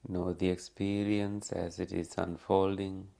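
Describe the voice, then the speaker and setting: A man leading a guided meditation as a teacher, speaking calmly and unhurriedly with long pauses. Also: deep voice, gravelly.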